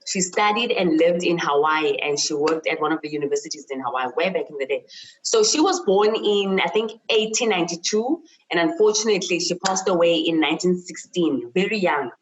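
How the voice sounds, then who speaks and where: Interview guest: woman, South African accent, low pitch, earnest and emphatic, personal storytelling with repeated phrases for stress. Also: lively intonation, a little fast, slight background noise, rough recording. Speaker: university chemist and lecturer, interview guest.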